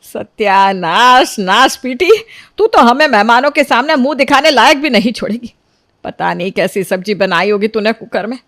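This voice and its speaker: A woman's voice: fairly high pitch, animated, moderate pace, fairly bright tone, dry recording. An exasperated older mother-in-law from a family storybook , as a woman narrator plays her. She berates and laments like a worn-out head of the household, in a dry, close recording. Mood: scolding, outraged.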